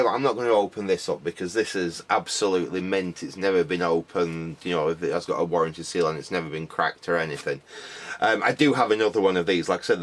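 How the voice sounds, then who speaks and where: A man talking casually to camera about his car boot finds, rambling and repeating "like I said", his voice a little croaky. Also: low voice. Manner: rambling, repeats "like I said", many ums. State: slightly croaky voice.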